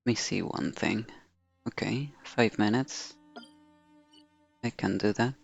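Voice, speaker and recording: A man's voice: on the low side, slow, gravelly, slightly rough, highs cut off, faint music behind. A man streaming a game, chatting casually and half muttering to himself. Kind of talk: casual live-stream chat.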